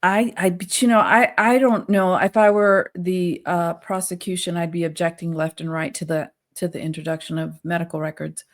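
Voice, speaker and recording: A woman's voice: on the low side, fairly even intonation, normal speed, few pauses. A woman co-hosting a casual legal discussion, thinking aloud in a tentative way. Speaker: co-host discussing law.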